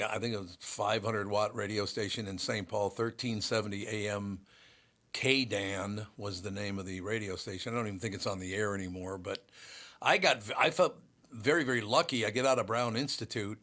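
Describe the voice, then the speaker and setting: A gruff, low-pitched older man from Minneapolis hosts a casual talk show. His voice is rough yet bright and forceful, and he rambles through stories with plenty of restarts. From Minneapolis, USA. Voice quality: gruff, somewhat harsh voice. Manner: rambling, storytelling, frequent restarts. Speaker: show host. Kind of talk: casual chat.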